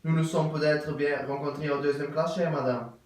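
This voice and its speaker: A man playing a stiff, courteous English gentleman. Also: a little high, steady tone, moderate pace, close to an everyday voice. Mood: ceremoniously curious. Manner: formal, ceremonious, repetitive. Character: stiff polite English gentleman, middle-aged, curious. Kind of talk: theatre acting of an absurd play. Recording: very echoey room, voice from far off.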